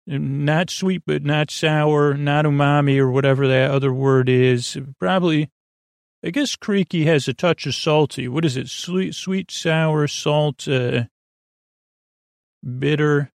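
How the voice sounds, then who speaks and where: A man hosting a sleep podcast with a creaky voice, rambling in a soothing, meandering, silly way and fumbling his words as he improvises. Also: on the high side, slow pace, no room sound, studio quality. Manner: soothing, lulling. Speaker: podcast host.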